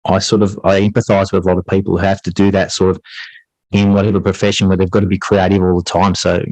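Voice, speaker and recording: Male podcast guest, teacher type, lower register, hesitant conversational delivery, dry recording. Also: level tone, a little mellow, no room sound, poor audio. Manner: hesitant, halting, repeats words. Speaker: high school English teacher.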